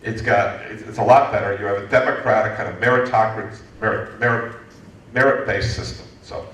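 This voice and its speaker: A man in his fifties from the United States, answering an audience question at a lecture in a lively, joking way with many restarts. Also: lowish voice, fairly quick, muffled recording. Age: 50s. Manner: animated, joking, frequent restarts. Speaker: guest speaker, entrepreneurship lecturer. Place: lecture hall. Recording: echoey hall from far off.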